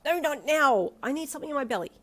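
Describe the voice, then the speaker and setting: A woman voicing a bubbly, excitable young girlfriend who squeals and gushes. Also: moderate pace. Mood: playful. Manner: high, squealing, gushing.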